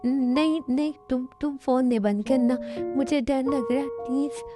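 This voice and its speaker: A woman's voice: sits high, steady tone, music in the background, close to an everyday voice. A woman voicing a frightened young wife who stammers through tears. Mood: tearful. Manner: halting, sobbing, stammering.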